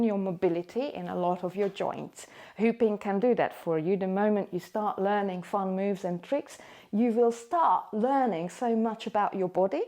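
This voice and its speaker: A woman's voice: medium pitch, warm tone. Upbeat woman coaching hula hoop fitness. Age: 40s. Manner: upbeat, encouraging, coaxing. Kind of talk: motivational talk to camera.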